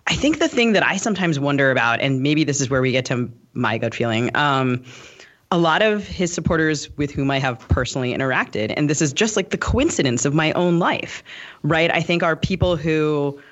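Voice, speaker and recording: A man's voice: on the high side, animated, fairly quick, a bit bright. A man co-hosting a casual interview, talking in a discursive way and often qualifying his own points. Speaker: podcast co-host interviewer. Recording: dull lo-fi sound.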